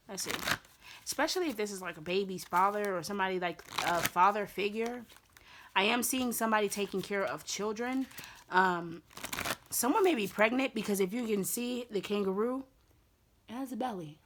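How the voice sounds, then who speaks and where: A woman with a slightly raspy voice giving a casual tarot reading, chatty and repetitive, with lots of fillers. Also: mid-range voice, fairly bright tone, slight background noise. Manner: chatty, repeats herself with many fillers. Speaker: tarot reader.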